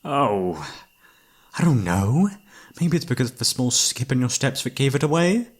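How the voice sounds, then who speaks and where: A man's voice: mid-range voice, sing-song, normal speed. A man playing a devoted young knight in a romantic fantasy ASMR roleplay, speaking in a courtly yet playfully teasing manner. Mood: teasing, fond. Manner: formal, courtly, playfully teasing, soft-spoken sighs.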